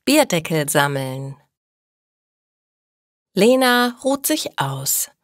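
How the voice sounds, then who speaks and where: A woman reading scripted stories for language learners as a narrator, with careful, measured enunciation. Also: on the low side, no echo, clean studio sound. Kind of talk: scripted slow story narration.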